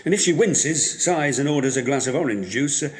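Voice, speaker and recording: A man's voice: medium pitch, lively intonation, brisk, slight background noise, lightly acted. An actor playing a wry, guarded widower in his sixties with dry, curt, formal delivery in an audio drama. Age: 60s. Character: gruff, wry 67-year-old widower, guarded and grieving.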